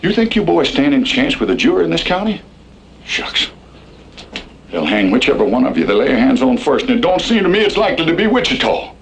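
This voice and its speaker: An actor playing a folksy, fretful Western old-timer in a radio drama, with a gravelly drawl and an admonishing, pleading manner. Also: male voice, mid-range voice, very animated, a little fast. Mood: exasperated, grim. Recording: faintly noisy old radio recording.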